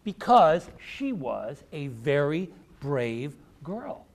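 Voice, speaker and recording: A man's voice: a little high, big pitch swings, drawn-out words. An American man, an author, giving an encouraging, theatrical storytelling talk to children in simple, emphatic phrases. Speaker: author and speaker. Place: public library event before children.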